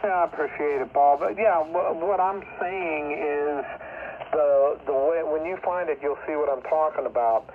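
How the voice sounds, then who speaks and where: A man with a slightly high voice talks casually and technically over shortwave radio. The signal is narrow and tinny, with faint static behind him. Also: moderate pace. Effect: two-way shortwave radio. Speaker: ham radio operator.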